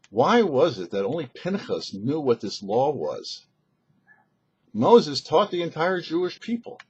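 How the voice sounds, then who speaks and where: A man's voice: mid-range voice, a little mellow, slightly rough, muffled recording. A man speaking as a rabbi and show host, giving a short scripted religious lesson in a didactic, questioning manner. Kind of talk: short scripted Torah teaching.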